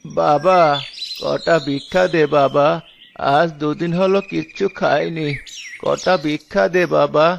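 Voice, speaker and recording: A man voicing a frail old beggar in a Bengali cartoon, pleading and persuading in slow, drawn-out speech. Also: fairly high pitch, steady tone, gravelly, muffled recording, a little hiss or hum. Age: older.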